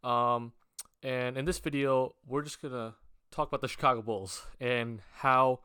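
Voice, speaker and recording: A man's voice: mid-range voice, steady tone, unhurried, pushed and bright, smooth, dry recording, studio quality. A young man with a cold and a worn voice, casually talking basketball on his own channel, rambling with many fillers and restarts. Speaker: basketball vlogger. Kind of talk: casual sports talk to camera.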